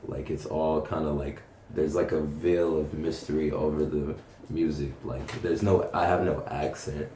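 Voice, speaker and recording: Super deep mellow young guy, halting slangy swearing, roomy echo w faint noise. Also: level tone. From North Carolina, United States. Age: young adult. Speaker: punk rapper being interviewed. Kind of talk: casual chat.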